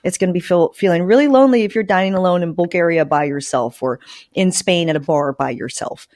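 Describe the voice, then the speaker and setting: A woman with a fairly low voice hosts a podcast. She talks conversationally, introducing topics and putting questions to a guest as an interviewer. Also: a little fast, a little mellow.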